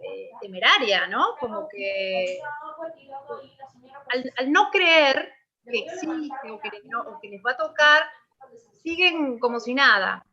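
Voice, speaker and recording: A woman leads an online seminar, first reading out a list of guidelines, then talking freely in reply to questions. Her voice is bright and sits a little high. The sound is muffled, as on a video call. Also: animated, slow. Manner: reads points as a list, then talks freely. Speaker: webinar presenter.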